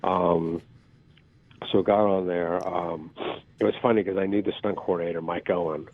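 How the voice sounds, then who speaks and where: A man with a very low, raspy voice recounts stories in a loose, rambling way with filler ums and a self-deprecating streak. The sound is thin and phone-like, as if he is calling in. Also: fairly even intonation, moderate pace, dry recording. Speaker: actor guest. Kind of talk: casual interview storytelling. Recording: phone-like call audio.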